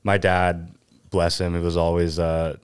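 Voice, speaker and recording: A man's voice: low-pitched, monotone, measured pace, smooth, dry recording, clean studio sound. A young man from Pasadena, a guest on a casual podcast, talking in an easygoing, rambling way with lots of fillers. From Pasadena, California. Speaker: podcast guest, van builder.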